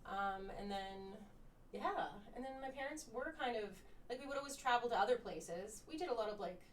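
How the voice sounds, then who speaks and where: A woman's voice: a little high, animated, moderate pace. Woman, casual chat, Croatian home language, roomy sound, faint hiss. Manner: chatty, upbeat, lots of fillers. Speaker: guest. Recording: roomy, distant mic with faint noise.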